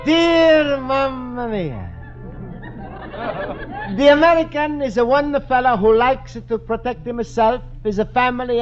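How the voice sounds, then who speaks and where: A very high, lively male voice with a thick Italian accent. He is a naive, earnest immigrant shopkeeper speaking broken English, heard on a dull old radio comedy recording. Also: heavily acted. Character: cheerful naive Italian immigrant man, antique shop owner. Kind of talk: 1950s radio comedy acting.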